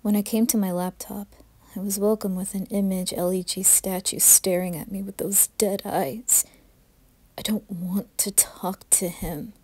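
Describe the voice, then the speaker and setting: A woman performs a first-person creepypasta as its troubled narrator. Her medium-pitched voice is breathy and husky, with an intense, anguished delivery. The sound is dry, with little room on it. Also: steady tone, a little slow, mellow, no echo. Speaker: horror story narrator.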